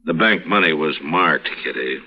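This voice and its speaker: An actor playing a calm, steady frontier marshal in a 1950s radio drama. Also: male voice, extremely low pitch, heavily acted. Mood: somber. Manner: calm, measured. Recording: lo-fi old radio recording in a reverberant room.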